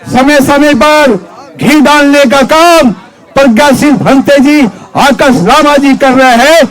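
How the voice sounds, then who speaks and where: A man, a Buddhist monk, delivers an impassioned political rally speech from a stage, declaiming forcefully and driving points home with repeated rhetorical questions. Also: extremely high pitch, rough recording. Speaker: Buddhist monk and activist speaker.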